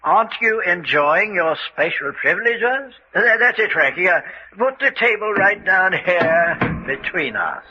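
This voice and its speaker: An actor playing a sly, mock-courteous villain host in a radio mystery, hesitant and genteel with quiet menace. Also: male voice, high voice, animated, a little slow, exaggerated voice. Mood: smug, gloating. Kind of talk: old-time radio mystery acting. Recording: dull lo-fi radio recording with noise.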